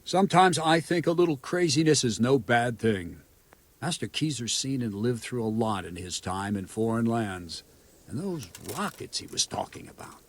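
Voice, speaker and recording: An actor playing a gruff but good-natured soldier in a medieval video game, husky and wryly plain-spoken. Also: male voice, mid-range voice, animated, normal speed, close to an everyday voice. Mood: musing.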